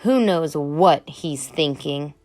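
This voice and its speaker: A woman's voice: low voice, slow pace, darkish tone, no echo, faint music behind, pitched below the performer's own voice. A woman voicing a grumpy, suspicious male fish in a children's storybook, with an irritable, alarmist manner.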